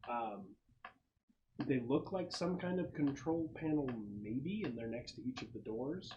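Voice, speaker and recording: Man game master, halting tabletop narration. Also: mid-range voice, lively intonation, dull audio, noisy background. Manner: halting, searching for words as he describes.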